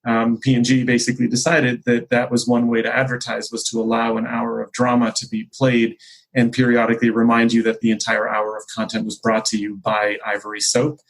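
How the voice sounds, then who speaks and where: A man speaking as an executive guest on a podcast, explaining things in a conversational, discursive and measured way. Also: medium pitch, monotone, rough recording.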